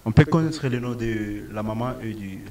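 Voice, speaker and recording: A man's voice: on the low side, moderate pace, dark tone. Congolese man hosting, slightly distant mic. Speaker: sports show host. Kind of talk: broadcast interview. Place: open-air football pitch. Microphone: handheld microphone.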